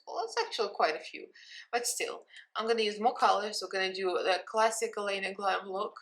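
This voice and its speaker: A woman with a Russian accent chats casually while hosting a makeup tutorial. Her voice sits at a middling pitch, and she talks openly, teasing herself as she goes. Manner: chatty, self-mocking, candid. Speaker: makeup vlogger.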